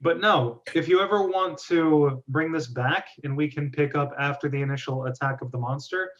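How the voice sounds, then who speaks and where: A man's voice: a little high, normal speed. Man hosting a discussion, dull lo-fi audio. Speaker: host of a script reading club. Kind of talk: group discussion, giving feedback.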